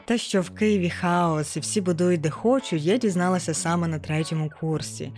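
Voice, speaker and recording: A woman's voice: lowish voice, normal speed, a little mellow. Young woman narrating, music behind. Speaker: podcast narrator. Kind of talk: personal narrated audio essay. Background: background music.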